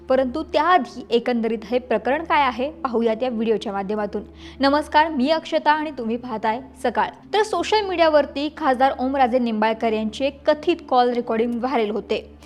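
High-voiced woman presenting the news. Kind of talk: scripted news narration.

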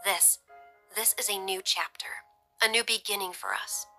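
A woman voicing a tender, emotional mother and wife in an audiobook, soft, breathy and heartfelt. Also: fairly high pitch, brisk, faint music behind, voice pushed up. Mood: soft, thoughtful.

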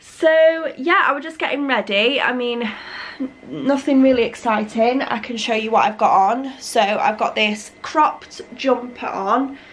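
Slightly husky young woman vlogger. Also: fairly high pitch, unhurried. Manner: rambling, many fillers and restarts. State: recovering from a cold. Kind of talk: casual chat to camera. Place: living room at home, indoors. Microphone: camera on a tripod.

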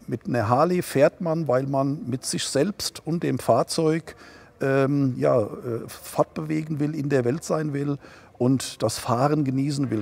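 Man, 60s, gravelly dark voice, relaxed, hesitant interview answers. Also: mid-range voice. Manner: relaxed, hesitant with many fillers. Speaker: dealership co-owner. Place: showroom, seated on a sofa indoors.

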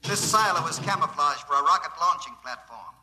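An actor playing a smug, coldly polite conspirator chief over an intercom in a 1950s radio drama, formal and mock-courteous. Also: male voice, on the high side, animated, a little fast, sharp tone, slight background noise. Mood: smug menace. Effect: speaking over an intercom.

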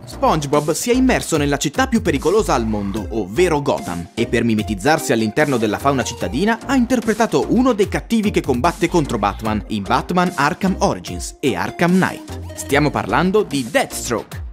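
Casting: young male presenter, animated intonation, music behind. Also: a little high, a little fast, hardly any pauses. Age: young adult. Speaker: video host. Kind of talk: scripted presenting.

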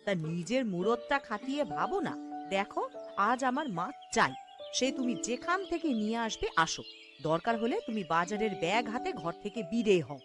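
A woman voicing a sharp-tongued, nagging village wife in a cartoon, demanding and sarcastic. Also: a little high, expressive, normal speed, over music, natural voice. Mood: scolding. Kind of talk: cartoon voice acting.

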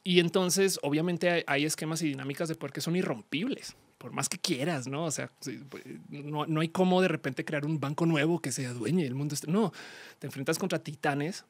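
A woman in her thirties with a Colombian accent, a podcast guest explaining things casually with slang and acted-out exchanges, on a studio boom mic. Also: a little fast, dry recording. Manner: animated, slangy, acts out quoted exchanges. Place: small indoor studio. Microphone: studio mic on boom arm, headphones.

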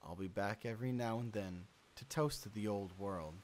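A man playing an inhuman host who poses as a trusted friend in a comic dub, speaking in a measured, reassuring way. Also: lowish voice, a little mellow, a little hiss or hum, natural voice. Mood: calm, ominous.

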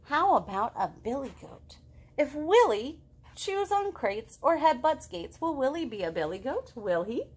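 A woman in her thirties reading aloud to young children as a storytime host, expressive and full of questions to draw them in. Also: sits high, measured pace. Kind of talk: animated picture-book read-aloud for young children. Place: living room at home.

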